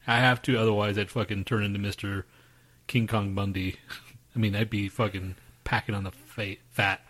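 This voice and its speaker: A man's voice: fairly low pitch, flat delivery, normal speed, a bit bright. A man co-hosting a podcast, talking in a loose, profane, rambling way about his day. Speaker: podcast co-host. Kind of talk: crude casual chat.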